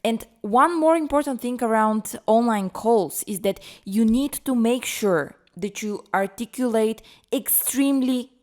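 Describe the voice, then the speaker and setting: A woman co-hosting a podcast, explaining presentation tips in a careful conversational way. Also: fairly high pitch. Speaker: podcast co-host, presentation coach.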